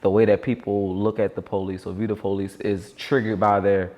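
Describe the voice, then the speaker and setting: A man's voice: on the low side, little pitch movement, a little fast, warm tone. A man, hosting a casual interview in a restaurant lounge, upbeat and playful, tripping over repeated words. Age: 30s. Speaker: host. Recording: reverberant room.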